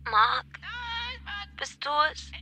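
An actress playing a frightened, exhausted young woman held hostage, speaking haltingly. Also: bright, forceful tone, soft background music, heavily acted. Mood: dazed. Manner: halting, faltering.

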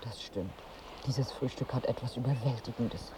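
An actress in a radio drama playing a wry, exasperated wife on holiday, dry and bossy in manner. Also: female voice, rumbling low, normal speed, heavy background noise. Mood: dry, matter-of-fact. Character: wry, exasperated married woman on holiday seeking pregnancy.

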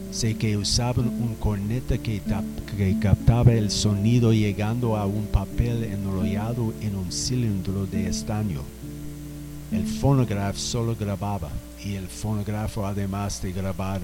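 Guy doing a refined old 1800s gentleman, slow and thoughtful, lowish voice, guitar music behind. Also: natural voice. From Colombia. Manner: slow, reflective, storytelling. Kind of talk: radio drama acting. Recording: music bed under the voice.

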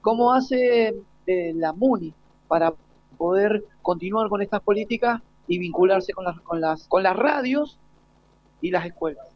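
An Argentine man hosting an informal live panel interview, haltingly searching for his words. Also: sits high, expressive, dry recording. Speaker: host and interviewer. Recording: dull lo-fi live broadcast.

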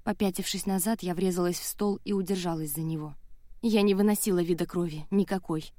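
A woman's voice: fairly low pitch, steady tone, a little fast, warm tone, no echo. A woman audiobook narrator reading a dramatic first-person novel, close on the mic in a studio.

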